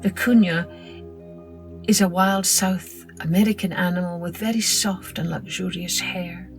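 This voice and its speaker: A woman narrating a children's story in an expressive, dramatic storytelling manner. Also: on the low side, measured pace, faint music behind. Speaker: storyteller, podcast host.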